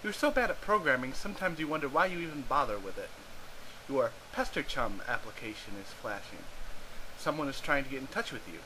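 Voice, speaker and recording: A man with a mid-range voice narrates a story in a theatrical amateur style. He reads scripted second-person narration and commands with deliberate shifts in delivery. Clear noise sits on the recording. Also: medium pitch, expressive. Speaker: amateur narrator of a webcomic reading.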